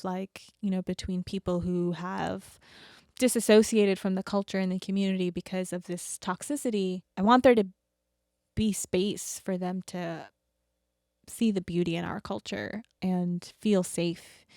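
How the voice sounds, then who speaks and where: A woman's voice: on the low side, fairly even intonation, unhurried, a little mellow, no room sound, studio quality. A young woman co-hosting a casual podcast, talking earnestly with frequent laughs and "like". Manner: earnest, laughs often, lots of "like". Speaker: podcast co-host. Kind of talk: casual chat.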